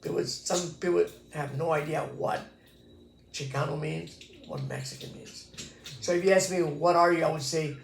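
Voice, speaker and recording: Man in his 60s, from Texas, gravelly voice, echoey room, reflective interview storytelling. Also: on the high side, sing-song, normal speed, a little hiss or hum. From Texas, USA. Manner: reflective, earnest storytelling with many restarts. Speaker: interviewee, former pachuco. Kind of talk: casual recorded interview.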